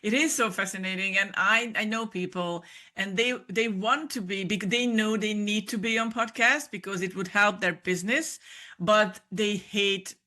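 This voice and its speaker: A woman's voice: mid-range voice, normal speed, sharp tone. A woman from Hungary speaking English with a Hungarian accent as a podcast guest, earnest and encouraging. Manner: earnest, encouraging, some restarts. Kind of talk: casual interview chat.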